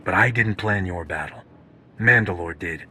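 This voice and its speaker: A man playing a brisk, no-nonsense roguish hero in a video game, blunt and commanding. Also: sits low, pushed and bright, slight background noise. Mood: firm, brisk. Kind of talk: scripted video game acting.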